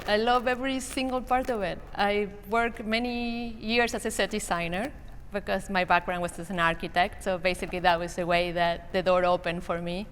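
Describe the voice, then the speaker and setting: A woman from Argentina with an Argentine accent, speaking as a panelist in casual talk, with many hesitant fillers. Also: mid-range voice. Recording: reverberant convention hall with faint noise.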